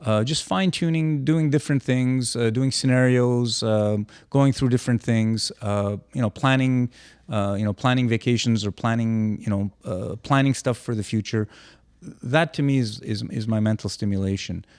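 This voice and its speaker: A man's voice: medium pitch, steady tone, moderate pace, a little mellow, clear, resonant tone, no room sound, clean studio sound. An older retired man chatting casually as a podcast co-host, rambling with frequent restarts. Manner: rambling, many restarts, easygoing. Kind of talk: casual chat.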